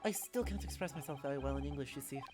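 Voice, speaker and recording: A woman's voice: very deep voice, fast talker, music in the background, pitched below the performer's own voice. A woman voicing a polite, hesitant young male law student in a game, earnest and apologetic.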